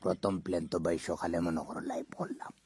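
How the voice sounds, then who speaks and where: A man's voice: deep voice, steady tone, fast, vocal fry, a little mellow, slightly rough. A man making a comic prank phone call, rambling and repeating himself. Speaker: prank caller.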